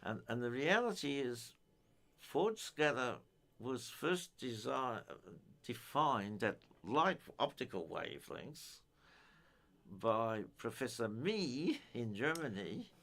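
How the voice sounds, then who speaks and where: An older man giving an informal technical explanation in a halting, methodical way. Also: mid-range voice, sing-song, a bit bright. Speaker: amateur radio enthusiast giving a technical talk.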